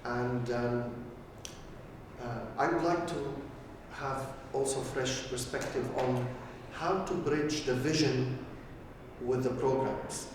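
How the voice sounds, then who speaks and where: A man from Syria, a foundation director, introducing himself in an earnest, measured way. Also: medium pitch, fairly even intonation. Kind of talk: self-introduction. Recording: echoey room from far off with noise.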